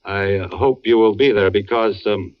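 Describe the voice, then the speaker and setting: An actor playing an earnest, somber man, a knowing family friend, with measured dramatic delivery in 1940s radio style. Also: lowish voice, lightly acted. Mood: gentle, hesitant. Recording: muffled old radio recording.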